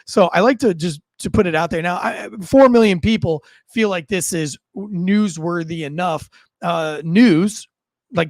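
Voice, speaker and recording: A man with a noticeably high voice and an American accent delivers informal news commentary. He talks conversationally, mixing read-out reports with his own asides. The sound is dry and close, with little room in it. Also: no room sound.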